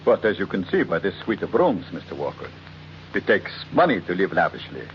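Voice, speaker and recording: An actor playing a suave, menacing blackmailer with polite, mockingly formal manners in an old-time radio drama. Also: male voice, sits low, wildly swooping pitch, fairly quick, creaky, heavily acted. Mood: smugly threatening. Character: suave, menacing male blackmailer living lavishly. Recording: muffled, noisy old radio recording.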